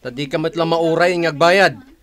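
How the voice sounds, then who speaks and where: A man's voice: a little high, moderate pace, fairly bright tone, close to an everyday voice. A man with an Ilocano accent playing a blunt, teasing and reproachful co-worker in a Philippine radio drama. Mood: admonishing. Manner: emphatic, admonishing, tag questions.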